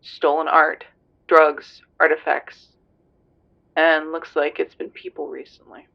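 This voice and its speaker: A man's voice: fairly high pitch, completely flat delivery, slow, gravelly. A man playing a tough, serious police investigator on a phone call in a roleplay audio drama, terse and grave. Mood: serious, informative. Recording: dull lo-fi phone-call sound.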